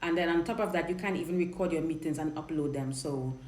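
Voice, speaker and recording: A woman's voice: low-pitched, quick pace, darkish tone. Chatty Trinidadian woman on a live stream. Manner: chatty, frank, repeats and restarts words. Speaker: dating coach hosting a live Q&A. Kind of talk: casual live-stream chat reading viewer questions. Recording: roomy live-stream audio with faint noise.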